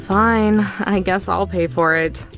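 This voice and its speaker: A man's voice: high voice, steady tone, takes it slowly, music in the background, voice pushed up. A man voicing a practical, guarded young woman in a visual novel, dry and a little exasperated. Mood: grudging.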